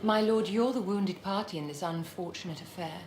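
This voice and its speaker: A woman's voice: fairly low pitch, normal speed, a little mellow, reverberant, faint music behind, close to an everyday voice. A woman playing an imperious aristocratic enchantress in a fantasy game, poised, genteel and condescending. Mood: icy, condescending. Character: imperious, silky aristocratic female court enchantress.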